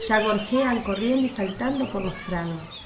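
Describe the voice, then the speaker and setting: A woman narrates a religious radio play at a middle pitch. Her voice rings with echo, and faint birdsong and outdoor ambience sit behind her. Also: moderate pace. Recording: echoey, faint noise with birdsong.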